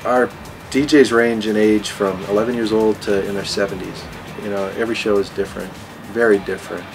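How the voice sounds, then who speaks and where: Male community radio worker, flat delivery, interview with music underneath. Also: lowish voice, fairly quick, vocal fry, rough recording. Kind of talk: documentary interview.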